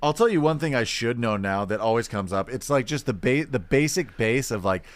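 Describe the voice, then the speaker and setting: A man's voice: mid-range voice, animated, a little fast, dry recording. A young American man chatting casually as a podcast co-host. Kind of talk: casual group chat.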